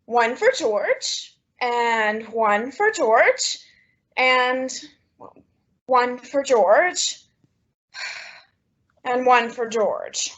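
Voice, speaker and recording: A woman playing a dramatic, scheming housewife in an old-time radio sitcom, with exaggerated, drawn-out comic emphasis. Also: a little high, lively intonation, fairly bright tone, exaggerated voice. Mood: disappointed, wry. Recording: dull lo-fi old radio recording.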